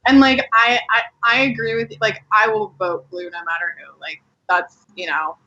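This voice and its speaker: A woman's voice: medium pitch, steady tone, moderate pace, bright, forceful tone, muffled recording. A woman from Colorado chatting casually and candidly as a podcast guest, peppering her speech with "like.". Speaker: podcast guest, adult performer. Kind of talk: casual chat.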